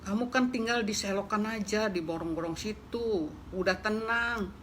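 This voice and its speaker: A woman doing a kindly calm horse. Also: mid-range voice, moderate pace, a little hiss or hum, pitched above the performer's own voice, close to an everyday voice.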